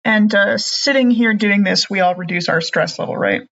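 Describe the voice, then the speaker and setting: Breathy lady chatting at a tabletop game, lo-fi mic. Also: medium pitch, moderate pace, a bit bright. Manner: chatty, laughs easily. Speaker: player. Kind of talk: casual tabletop chat.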